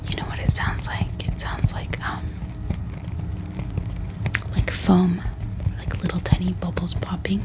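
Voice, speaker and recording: A woman whispers softly in a breathy, low-pitched voice, presenting an ASMR haul. Her delivery is gentle and unhurried, lingering on small details and repeating phrases. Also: completely flat delivery, low-quality recording. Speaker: host of a bookish ASMR channel. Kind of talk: soft-spoken ASMR product haul.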